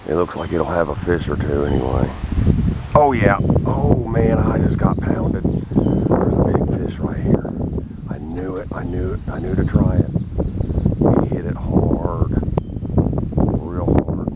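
A middle-aged man giving casual, excitable commentary while fishing a creek bank, recorded outdoors on a wearable action camera. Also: low voice. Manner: excitable, bursts of exclamations when a fish bites. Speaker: fishing vlogger. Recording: loud wind and water noise on a camera mic. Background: wind and rushing water.

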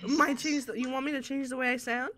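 A woman streamer with a raspy voice, teasing and provoking players in a brash live game chat. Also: sits high, steady tone, fast, a crowd in the background.

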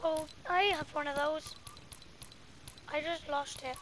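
A woman's voice: high voice, steady tone, slow pace. A female co-player improvising roleplay chatter during a video game, picked up on a home mic. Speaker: gamer co-playing a roleplay. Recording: noisy home gaming mic.

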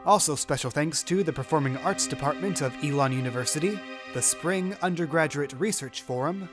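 A man with a slightly high voice reads closing credits from a script. He speaks as an announcer over clearly audible music. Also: a little fast.

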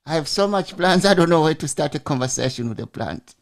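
A man's voice: a little high, expressive, a little fast, creaky. A man hosting a podcast over a video call, asking long, rambling questions with frequent restarts. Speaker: podcast host. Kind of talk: casual interview. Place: home room, video call. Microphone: large studio mic on arm.

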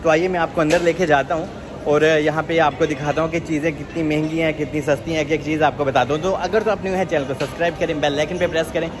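A man, talking casually to viewers on his phone camera as he walks through a large supermarket, repeating "theek hai" often. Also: on the high side. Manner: chatty, addresses viewers as "janab", says "theek hai" often. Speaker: vlogger. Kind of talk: casual walk-through vlog. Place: large supermarket in a shopping mall, indoors. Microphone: handheld phone camera. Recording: noisy store background.

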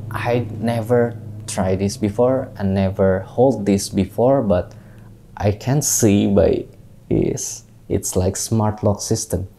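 A young Indonesian man with an Indonesian accent, a tattoo artist, unboxing gear in halting English, recorded on a lapel mic in a small studio. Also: on the low side, dark tone. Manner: unhurried, halting non-native English with many fillers. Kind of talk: casual product unboxing.

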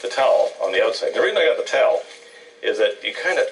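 A man's voice: medium pitch, big pitch swings, quick pace, a little hiss or hum. A man, hosting a cooking show in a casual, chatty way, on an old TV tape. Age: 60s. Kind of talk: casual TV cooking banter and presenting. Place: TV studio kitchen. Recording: distant mic, old TV broadcast tape.

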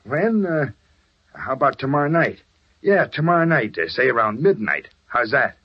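Menacing american gangster boss, husky, voice swings around, old muffled radio show. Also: male voice, mid-range voice, big pitch swings, normal speed. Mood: sly, stalling. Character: tough male racketeer boss, sly and menacing. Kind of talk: 1930s-40s radio acting. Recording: muffled vintage radio recording.

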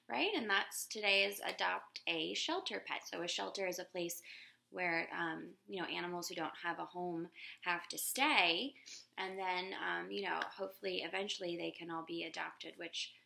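A woman in her thirties reading a picture book aloud to children in a gentle, expressive teacher voice, recorded on a laptop webcam in a living room. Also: moderate pace, vocal fry. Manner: gentle, expressive teacher voice, raises pitch for quoted characters. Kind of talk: children's read-aloud with questions to viewers. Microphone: laptop webcam at close range.

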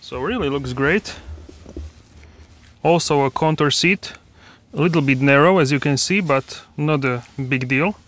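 Man talking casually inside a car. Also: on the high side. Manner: relaxed, halting, many fillers and restarts. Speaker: car reviewer. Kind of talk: casual walkaround car review. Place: inside a parked car, then outdoors at a dealership lot. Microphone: handheld phone camera.

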